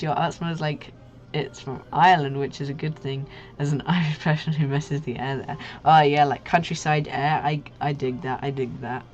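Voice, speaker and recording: A young woman chats informally on a livestream in a low-pitched voice. Her manner is goofy and giggly, peppered with slang. The sound is a little dull and lo-fi, with music playing behind her. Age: young adult. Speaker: livestream host, artist. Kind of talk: casual livestream chat. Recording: muffled lo-fi stream audio with music. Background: background music.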